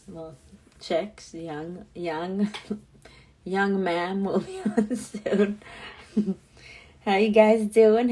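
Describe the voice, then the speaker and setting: A woman's voice: medium pitch, mellow, breathy edge. A young woman hosting a live talk show over a video call, bubbly and playful, laughing often. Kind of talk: casual live interview chat.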